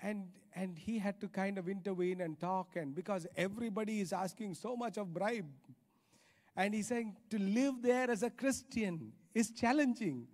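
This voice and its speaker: High-voiced Indian-accented male preacher in a hall. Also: normal speed, a little mellow. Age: middle-aged. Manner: emphatic, repeats phrases for stress. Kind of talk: sermon. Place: large church auditorium stage. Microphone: handheld microphone. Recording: reverberant hall sound.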